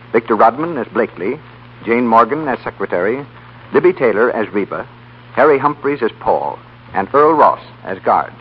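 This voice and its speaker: A man with an American accent reading scripted announcements as a 1930s radio announcer. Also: medium pitch. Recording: dull, noisy 1930s radio broadcast.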